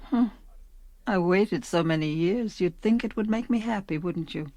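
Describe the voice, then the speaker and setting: A woman's voice: lowish voice, normal speed, dark tone, no echo, natural voice. A woman acting a restless, dissatisfied fisherman's wife in a radio play. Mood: subdued, resigned.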